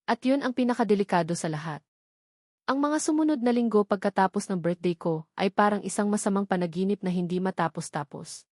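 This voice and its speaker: A woman reading a scripted first-person dramatic story in measured, short phrases. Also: medium pitch, a little mellow, no echo, clean studio sound. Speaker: story narrator.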